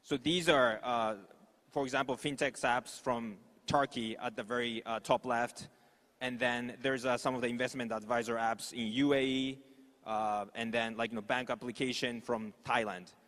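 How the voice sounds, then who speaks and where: A man from Japan with a Japanese accent, a native Japanese speaker, giving an informal, halting conference presentation. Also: medium pitch, steady tone, fairly bright tone. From Osaka, Japan. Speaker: startup executive, conference speaker. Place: large conference hall stage. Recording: echoey hall with long reverb.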